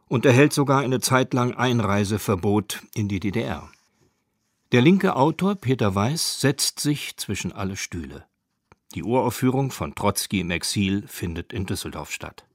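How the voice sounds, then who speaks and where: Low-voiced German radio narrator, a man. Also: normal speed, no echo, studio quality. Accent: standard German. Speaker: documentary narrator. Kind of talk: scripted radio feature narration.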